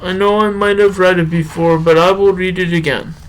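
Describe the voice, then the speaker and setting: A man playing a slow, earnest poetry show host with a drawn-out, halting delivery. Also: high-pitched, steady tone, slight background noise, voice pushed up, lightly acted, poor audio. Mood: slow, rambling. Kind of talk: halting poetry recital and rambling podcast talk.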